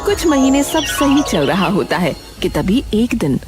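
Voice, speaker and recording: Expressive woman storyteller over music. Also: mid-range voice, measured pace. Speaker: storyteller, narrator. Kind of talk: scripted Hindi story narration. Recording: music bed under the voice.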